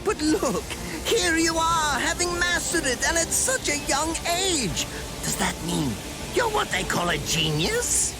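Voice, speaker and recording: Wildly swooping villainous mad scientist. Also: male voice, very high voice, very animated, a little slow, over music, heavily acted. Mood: fascinated. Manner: theatrical, sneering, savoring words. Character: eccentric gleeful villainous mad scientist, adult male spirit. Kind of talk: English-dubbed anime game acting.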